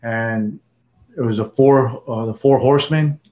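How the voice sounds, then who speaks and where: A man in his sixties recounts his past in an interview, stopping and restarting his sentences as he goes. His voice sits at a middle pitch. The recording is roomy and somewhat dull. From Dallas, Texas. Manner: halting, rambling storytelling with many restarts. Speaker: interviewee, former prison gang member. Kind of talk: personal life story in an interview. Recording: echoey room, dull lo-fi sound.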